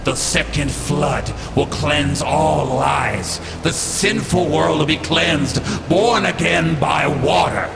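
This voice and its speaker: Gravelly, echoing, inhuman preacher father. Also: slow pace, few pauses, exaggerated voice. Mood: cold, thunderous. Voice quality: gravelly, booming. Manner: sermonizing, cold finality. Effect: layered, echoing voice effect. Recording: echoing hall, reverb, noisy background.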